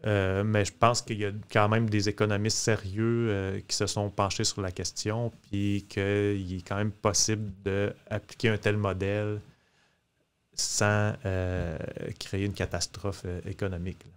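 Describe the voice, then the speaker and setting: Low, even-voiced Quebec man, halting. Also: clean tone. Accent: Quebec French. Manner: hesitant, halting. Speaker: podcast guest. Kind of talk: casual explanatory discussion. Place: small podcast studio, indoors. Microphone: close studio mic on boom arm.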